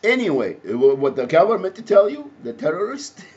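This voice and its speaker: A man from Egypt with an Egyptian Arabic accent, speaking off the cuff in a halting, repetitive, rambling monologue. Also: sits high, huge pitch swings, a little mellow. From Alexandria, Egypt. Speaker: activist, self-described advocate. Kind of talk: impromptu spoken monologue to camera. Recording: muffled audio with faint background noise.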